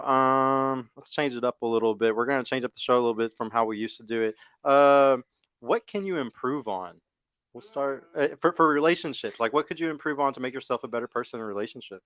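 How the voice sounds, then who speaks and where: A man's voice: medium pitch, a bit bright, clean tone, dry recording. A man hosting a casual dating podcast, hyped up and cursing freely. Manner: brisk, hype, swears freely. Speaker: dating show host.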